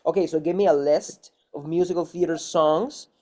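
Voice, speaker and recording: Guy actor, kinda high voice, chatting casually, non-native english phrasing, repeats himself for emphasis. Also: steady tone, normal speed, warm tone. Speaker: actor, interview guest. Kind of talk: casual chat.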